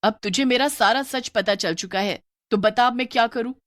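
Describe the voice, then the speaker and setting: A woman's voice: fairly high pitch, normal speed, no echo, clean studio sound, close to an everyday voice. A woman voicing a sorrowful long-armed female witch ghost who sells street snacks, in a plaintive tone.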